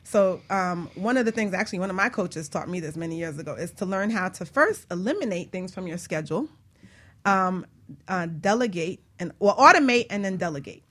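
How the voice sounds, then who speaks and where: A woman hosting a casual radio chat show, talking in an animated, chatty way and acting out quotes as a business coach. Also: fairly low pitch, fairly quick.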